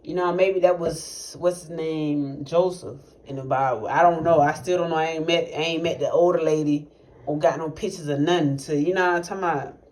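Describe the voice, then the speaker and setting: A man's voice: a little high, fairly even intonation, a little fast, darkish tone. A young man speaking tells a personal testimony in casual, rambling, profane talk, full of fillers and restarts. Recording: noisy recording with clicks.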